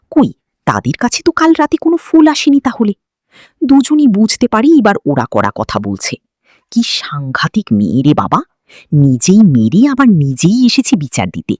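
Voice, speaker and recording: Female storyteller, highly animated intonation, dry close sound, expressive romantic fiction narration. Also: no echo.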